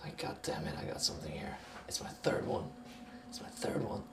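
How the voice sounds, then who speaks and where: A man's voice: brisk, reverberant, in a whisper. A man with a Norwegian accent talking casually in an interview, musing in a rambling, sweary way. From Norway.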